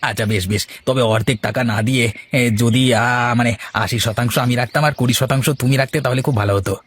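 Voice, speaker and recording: A man's voice: medium pitch, steady tone, brisk, no room sound, natural voice. A man voicing a sly, greedy petty thief in a cartoon, jittery and wheedling. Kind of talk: cartoon voice acting.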